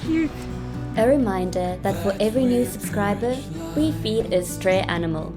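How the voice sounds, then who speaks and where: A woman's voice: mid-range voice, lively intonation, moderate pace. A young adult woman with a South African accent, chatting and narrating casually as a travel vlogger. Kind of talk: casual vlog narration and chat. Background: music.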